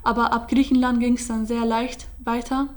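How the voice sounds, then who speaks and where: Young woman, flat hesitant telling. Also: on the high side, moderate pace. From Syria. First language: Kurdish. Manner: hesitant, many fillers and restarts. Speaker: interviewee. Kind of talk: casual personal account.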